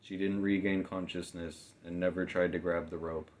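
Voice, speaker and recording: A man's voice: sits low, level tone, normal speed. A man narrating a fantasy novel as a scripted, dramatic audiobook reading. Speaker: author narrating own novel.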